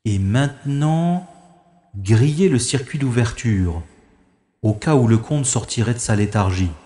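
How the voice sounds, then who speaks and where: A man's voice: mid-range voice, lively intonation, unhurried, dark tone, close to an everyday voice. Man playing a wry adventurer in echoing halls. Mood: wry, reassuring. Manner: wry, formal phrasing, thinking aloud. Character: wry, gallant male adventurer rescuing a young woman. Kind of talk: French-language audio drama acting with first-person narration. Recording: echoey long reverb, music behind.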